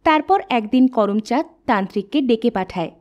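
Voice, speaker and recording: A woman narrating a spooky folk tale in a steady, scripted storytelling manner. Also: fairly high pitch, normal speed, muffled recording.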